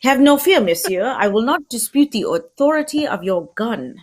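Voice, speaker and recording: Radio mystery: woman as observant, courteous Belgian sleuth with French-tinged accent, high for the part, very varied intonation. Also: animated. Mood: intrigued. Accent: put-on Belgian French. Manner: formal, deliberate, sprinkles French words. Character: polite, shrewd Belgian male detective, unhurried and observant. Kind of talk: old-time radio mystery acting.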